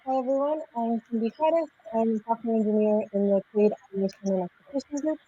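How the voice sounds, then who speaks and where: A woman software engineer from Mexico, raised in Florida, introducing herself calmly on a video call. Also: a little high, fast, husky, highs cut off. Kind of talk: panel introduction.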